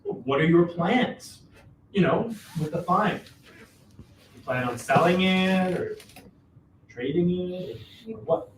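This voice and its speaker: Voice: mid-range voice, expressive, takes it slowly, pauses often. An actor in a dramatic play reading as Joe, a cajoling, exasperated older father with a folksy, repetitive way of talking, recorded at a distance in a roomy space. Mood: scheming. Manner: wheedling, folksy, repeats himself. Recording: roomy, distant mic, faint noise.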